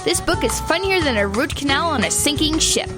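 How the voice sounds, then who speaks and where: High, animated woman reading a comic ad. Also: a little fast. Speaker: commercial announcer. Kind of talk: scripted tongue-in-cheek ad read. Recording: music bed behind the voice.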